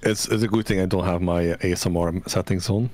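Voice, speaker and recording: A man chatting casually with friends while gaming, relaxed and soft-spoken, calling his own voice soothing. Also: low voice, quick pace. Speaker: streamer's guest.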